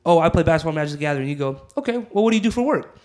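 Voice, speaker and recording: Higher-voiced man, playful podcast guest. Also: lively intonation, very fast, a little mellow. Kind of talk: casual podcast conversation, practical advice.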